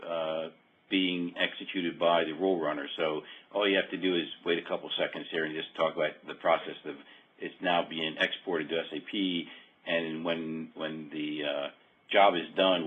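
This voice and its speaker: A man giving an informal, hesitant, explanatory walkthrough of a software demo, often rephrasing mid-sentence. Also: extremely low pitch, husky. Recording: muffled phone-like call audio.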